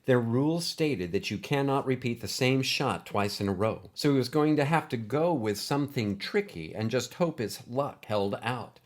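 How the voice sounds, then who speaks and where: A man reading a scripted story as narrator, with expressive, deliberate phrasing. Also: medium pitch.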